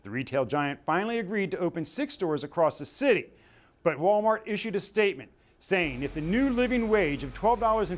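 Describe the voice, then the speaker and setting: A man's voice: high voice, slight background noise. A man with an American accent reading scripted news as an anchor.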